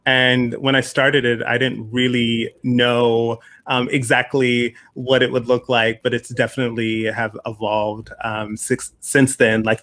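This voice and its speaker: A man's voice: medium pitch, a bit bright. A man co-hosting an online event in casual, loosely phrased conversational talk. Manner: informal, loosely phrased with frequent "like". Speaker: festival founder and co-host. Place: online video call.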